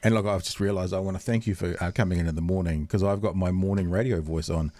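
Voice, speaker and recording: A man's voice: deep voice, fairly even intonation, quick pace, mellow. A man hosting a radio interview, chatty and self-deprecating, rambling with lots of restarts. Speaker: radio host. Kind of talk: casual interview chat. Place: radio studio.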